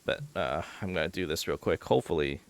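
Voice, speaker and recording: A man's voice: deep voice, fast talker. A man talking casually as he streams a video game, commenting live on what he is doing.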